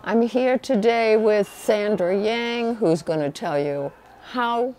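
A woman's voice: medium pitch, slow, mellow. An older woman hosting a community TV interview, upbeat and encouraging, on a lapel mic in a library room. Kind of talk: interview and scripted sign-off. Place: indoor library room, seated in armchair.

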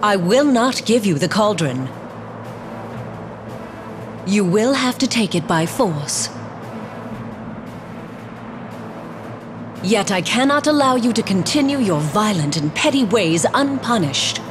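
A woman's voice: medium pitch, big pitch swings, unhurried, music in the background. A woman voicing a dignified, motherly fairy queen in a fantasy game, stately and formal. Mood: defiant.

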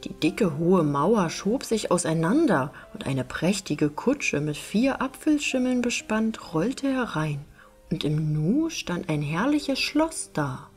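A woman narrating a fairy tale as an audiobook reader, in an expressive storytelling style. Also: lowish voice, slow pace, faint music behind.

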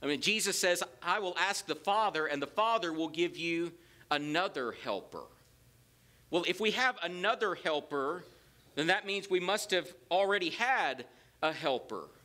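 A man's voice: sits high, moderate pace. A middle-aged man with a Southern accent, preaching a sermon in a church with folksy asides. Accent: Southern American. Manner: animated, gestures widely while preaching; folksy asides between scripture quotes. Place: large church sanctuary with empty pews. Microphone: lectern mic, roams away at times.

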